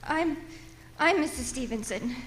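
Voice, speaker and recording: An actress playing a frantic, self-pitying, imperious invalid wife in a radio thriller, stammering, pleading and breaking into shrill outbursts. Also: female voice, expressive, a little slow. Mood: shaky, subdued. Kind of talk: old-time radio thriller acting.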